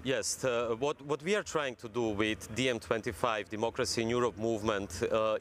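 A man's voice: mid-range voice, fairly bright tone, slight background noise. A man in his thirties from Croatia with a Croatian accent, earnestly arguing his points in a live news interview from outdoors. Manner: earnest, argumentative, lists examples. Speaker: guest commentator. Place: outdoors on a city street.

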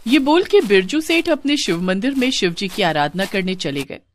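A woman's voice: medium pitch, animated, moderate pace, a little hiss or hum. A woman narrating a scripted moral story.

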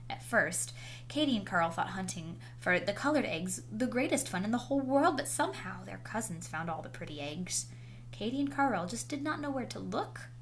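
A woman reading a children's picture book aloud with animated, dramatic emphasis and drawn-out words. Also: mid-range voice. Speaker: storybook reader. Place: indoors, small room at home. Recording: noisy home video recording.

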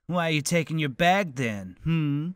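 A man voicing an aloof, self-important schoolboy in an anime parody dub, deadpan and emphatic as he lectures friends. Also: fairly high pitch, measured pace, clear, resonant tone, no room sound, studio quality. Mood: smug.

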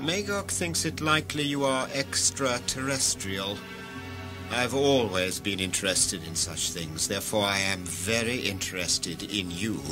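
A man's voice: fairly low pitch, a little slow, music in the background, lightly acted. A man playing a self-assured, formal and pompous director of a future regime in an audio drama. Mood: cool, formal. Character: self-assured titled male director of a future ruling cartel.

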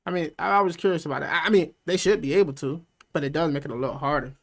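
A man's voice: on the high side, very fast, creaky. A man in his thirties doing casual reaction commentary, loose and slangy with laughing, swearing asides, on a headset mic in a small room. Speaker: reaction streamer.

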